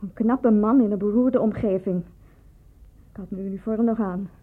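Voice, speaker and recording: A woman's voice: medium pitch, normal speed, lightly acted. A woman in a radio drama playing a wary, wounded nurse in her thirties who speaks in a defensive, confessional way. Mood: bitter confession. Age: 30s. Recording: dull lo-fi tinny radio play recording.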